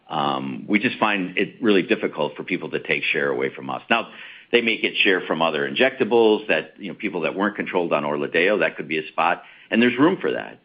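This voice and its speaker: Deep-voiced male executive on a call. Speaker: company executive. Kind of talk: answering questions on an earnings call. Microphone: conference call line. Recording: slightly dull conference-call audio.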